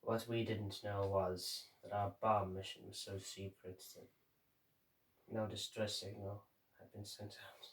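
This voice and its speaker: A man playing a grim, haunted sailor who survived a sinking, reciting a dramatic monologue in halting, measured phrases with deliberate pauses. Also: on the low side, level tone, lightly acted. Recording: roomy echo, distant microphone.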